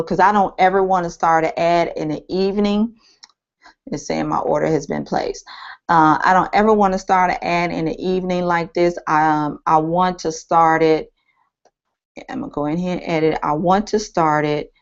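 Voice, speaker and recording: Woman w a low-ish tired voice going hoarse, lotsa ums, muffled lo-fi computer mic. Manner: rambling, thinking aloud with many ums. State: losing her voice, a bit hoarse. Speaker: online marketing trainer. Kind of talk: screen-share tutorial. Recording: dull lo-fi screen-capture audio.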